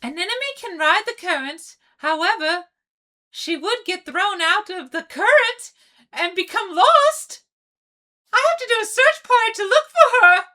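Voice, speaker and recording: A woman puts on a young female sea pony for a fan-made cartoon dub. The voice is extremely high and bright, girlish and eager, with a polite, bubbly royal manner. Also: lively intonation, a little slow, full character voice. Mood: thoughtful, chatty. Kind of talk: amateur comic dub voice acting.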